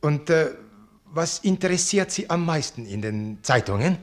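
A man's voice: on the high side, animated, normal speed, natural voice. An actor playing a courteous, learned male zoo director in a radio drama, speaking formally and politely with some hesitation. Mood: courteous, intrigued.

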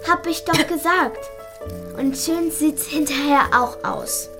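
Cheeky schoolgirl friend for children's radio play: very high voice, confident, brisk, teasing. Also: over music. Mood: brisk, instructive.

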